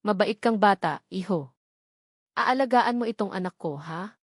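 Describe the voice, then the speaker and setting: Frail old mother in a hospital bed, read by a woman, soft, tender, mid-pitched, dry close sound. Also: lively intonation, moderate pace, slightly rough, no echo.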